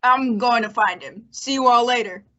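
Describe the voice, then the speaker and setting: A woman's voice: a little high, normal speed, close to an everyday voice. A woman playing a shifty, uneasy outlaw henchman in an old-time radio western. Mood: casual, shifty. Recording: dull lo-fi audio with hiss, clicks.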